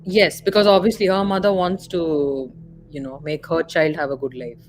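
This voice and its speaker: A woman's voice: low voice, steady tone, normal speed, soft background music. A woman with an Indian accent co-hosting a casual film discussion, explaining and clarifying points as she goes. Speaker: live stream co-host.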